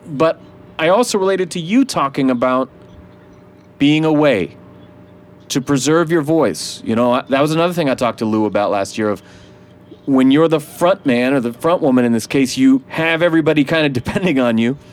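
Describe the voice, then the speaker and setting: A man's voice: medium pitch, expressive, moderate pace. Host, male musician, casual and profane, laughs often. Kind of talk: casual interview chat.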